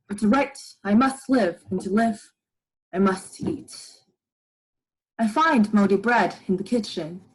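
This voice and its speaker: A woman's voice: medium pitch, natural voice, low-quality recording. A young woman playing a weary, haunted male professor who narrates his survival journal in a radio drama. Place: living room at home.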